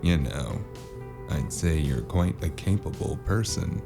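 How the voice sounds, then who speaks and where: A man narrating a noir audio drama as the lead, dry and wry, with a deadpan manner, over a music score. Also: rumbling low, measured pace, gravelly. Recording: music score playing underneath.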